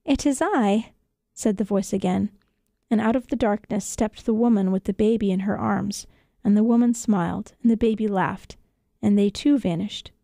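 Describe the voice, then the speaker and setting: A woman's voice: mid-range voice, steady tone, normal speed, gravelly, mellow, no room sound. A woman reading a children's story as a scripted narration, recorded in a radio studio.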